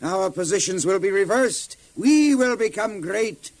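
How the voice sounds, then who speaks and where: An actor playing an ambitious, scheming warlord king with a grandiose, deliberate delivery in an audio Bible drama. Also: high voice, animated.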